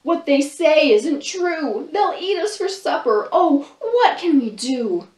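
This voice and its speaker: A woman doing squeaky fretful little monkeys. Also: sing-song, takes it slowly, a little mellow, recorded from a distance, exaggerated voice. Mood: worried. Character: small excitable young monkeys, worried. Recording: roomy sound from off-mic.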